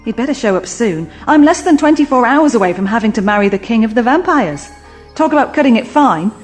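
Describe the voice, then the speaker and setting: An actress playing a plucky young companion in an audio drama, informal, chatty and quippy. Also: female voice, a little high, animated, a little fast, soft background music, natural voice. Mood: determined, quippy.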